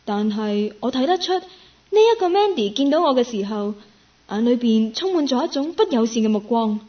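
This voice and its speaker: A woman's voice: fairly high pitch, lively intonation, normal speed, muffled recording, close to an everyday voice. A woman acting a curious, friendly young woman in a radio drama, with a Hong Kong Cantonese accent, both narrating and in dialogue. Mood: musing. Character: curious, friendly young woman, part-time worker, nicknamed Meow.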